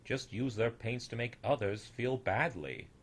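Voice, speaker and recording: A man's voice: mid-range voice, a little slow. A man voicing a big, old, wise creator in a storybook, tender, solemn and fatherly. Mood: sad.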